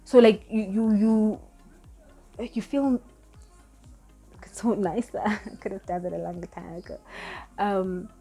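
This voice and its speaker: A woman's voice: medium pitch, fairly even intonation, takes it slowly, long pauses, warm tone, breathy edge, soft background music. A woman in her thirties, not a native English speaker, giving earnest, rambling spiritual advice in a casual vlog, often correcting herself as she goes. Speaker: spiritual vlogger.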